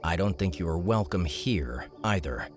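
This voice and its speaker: A man voicing a composed, smooth-talking male hero in a measured, confident way for an audiobook. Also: low-pitched, animated, soft background music. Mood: calm, persuasive. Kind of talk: audiobook character acting.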